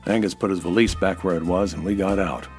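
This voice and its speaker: An actor playing a hard-boiled, cynical American nightclub owner in a dry, clipped detective radio drama style. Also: male voice, low-pitched, steady tone, fast, a little mellow, soft background music, lightly acted. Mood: wry, narrating. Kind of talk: 1940s detective radio drama narration and dialogue.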